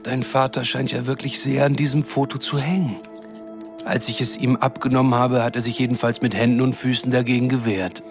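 An actor playing a cruel, condescending shapeshifter villain in a radio drama, taunting and threatening in a smooth-talking way. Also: male voice, mid-range voice, steady tone, fairly quick, music in the background, lightly acted. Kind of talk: German radio drama acting.

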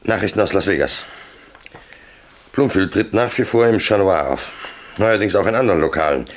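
A man's voice: low voice, animated, moderate pace. An actor playing a cool, laconic crime syndicate middleman in a German radio drama, terse and businesslike. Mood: cold, matter-of-fact.

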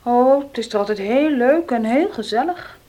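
A defiant young working woman in a radio drama. Her voice sits somewhat high. She answers in a tense, curt manner and keeps dodging the question. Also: lively intonation, moderate pace, mellow. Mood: defiant, evasive. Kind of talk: Dutch radio play acting.

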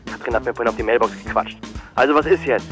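A male actor plays a shifty young man in a radio crime play. He is brusque and pushy, speaking quickly in slang at a middling pitch, with music behind him. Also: natural voice. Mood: impatient. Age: young adult. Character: brash, shifty young trucker chasing easy money. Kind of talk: German radio crime drama acting.

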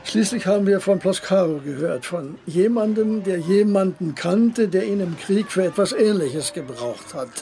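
Old blind businessman in a radio play, courteous, measured, quite high voice, bit of background noise. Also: expressive, dark tone. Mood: grave, hopeful. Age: 60s. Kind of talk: radio drama acting.

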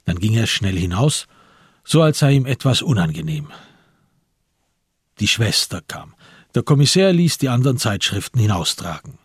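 A man reads scripted literary narration for a radio crime drama. His voice is low and very husky, recorded close and dry, and he tells the story in a measured way. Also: sing-song, no room sound.

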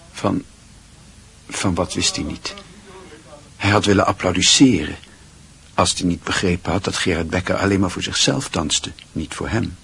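A man narrating a radio play in a measured, literary storytelling manner. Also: deep voice, gravelly, fairly bright tone, slightly rough, heavily acted.

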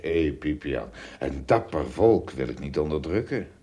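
Gentle giant castaway: extremely deep, lively intonation, hesitant, good-hearted. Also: male voice, unhurried. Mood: calm. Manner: hesitant, repeating words, thinking aloud. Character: good-hearted, principled shipwrecked adult traveler, a giant here. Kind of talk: radio play acting.

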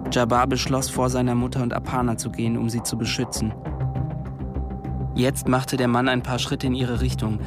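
A man narrating a radio play from a script in a plain, restrained way. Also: medium pitch. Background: loud music.

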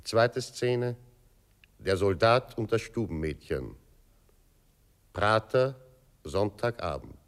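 A man's voice: lowish voice, animated, slow pace, pauses often. A man announcing scene titles for a radio play in a formal, scripted style.

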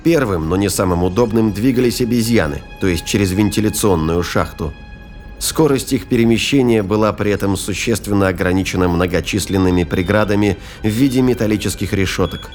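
Very deep male audio drama narrator. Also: brisk, a little mellow, soft background music.

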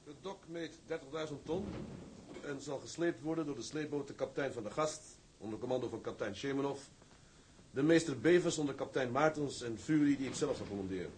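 An actor playing a composed, confident sea captain in a Dutch drama, speaking formally and in measured phrases. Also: male voice, medium pitch, a little mellow, a little hiss or hum, close to an everyday voice, rough recording. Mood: assured, official. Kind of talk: Dutch broadcast drama acting.

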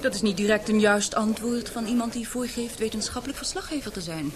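A woman's voice: on the high side, a little fast, natural voice. A woman acting in a Dutch radio play as a haughty, prickly young scientist, curt and sarcastic in manner. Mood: haughty, challenging. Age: young adult. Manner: curt, sarcastic, crisp questioning. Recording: radio play with clear crowd murmur.

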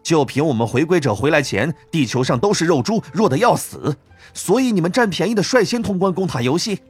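A man voicing an arrogant foreign male fighter in an audiobook, hoarse, mocking and scornful. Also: on the high side, animated, fairly quick, a little mellow, faint music behind, voice pushed up. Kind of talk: audiobook character dialogue.

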